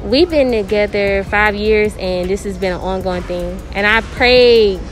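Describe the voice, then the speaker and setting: A woman's voice: medium pitch, unhurried. A young woman from Columbia, South Carolina, telling a story in a casual, confiding way with slang. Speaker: interviewee. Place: indoor clothing store. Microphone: interviewer's handheld phone. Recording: background music under voices.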